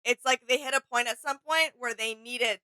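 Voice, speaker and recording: A female co-host with a smooth, clear voice that sits slightly high, recorded dry and close. She speaks casually and informally, agreeing easily and thinking aloud as she comments. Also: normal speed, pushed and bright, no echo. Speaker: co-host of a reaction video channel. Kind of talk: casual chatty reaction commentary.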